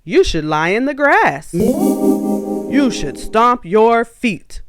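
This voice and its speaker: Woman doing eager, high storybook animal friends. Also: sing-song, extremely slow, full character voice. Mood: eager urging. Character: cheery animal friends coaxing a sulky monkey. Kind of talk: children's storybook read-aloud. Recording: music behind the voice.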